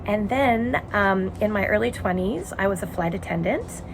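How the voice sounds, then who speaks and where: A woman in her forties from Montreal with a Canadian accent, hosting a casual knitting podcast from home and rambling warmly with many ums and uhs. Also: medium pitch, animated, normal speed, noisy background. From Montreal, Quebec, Canada. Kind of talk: casual chat. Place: home in a tiny house.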